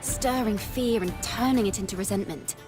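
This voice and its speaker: A woman's voice: mid-range voice, normal speed, lightly acted. A woman acting a spirited young female bard in a video game, poetic and theatrical in manner. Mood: earnest. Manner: theatrical, lyrical. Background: game music.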